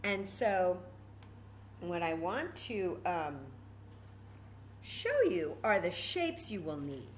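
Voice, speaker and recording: A woman's voice: on the low side, big pitch swings, slow pace, long pauses, slight background noise. A woman presenting a children's story time craft, explaining each step informally and patiently and repeating key words.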